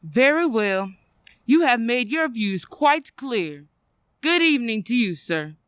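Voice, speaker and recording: A woman's voice: on the high side, sing-song, slow, a bit bright, clean tone, exaggerated voice. A woman playing a polite, earnest charity collector in an old-time radio play, courteous and formal. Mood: formal, disappointed.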